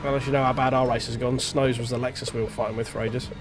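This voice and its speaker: A man's voice: mid-range voice, level tone, quick pace. A man streaming a racing game, chatting casually to himself and his chat while driving, sounding congested and blocked up in the nose. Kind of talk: live gameplay commentary. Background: game music and car engine sounds.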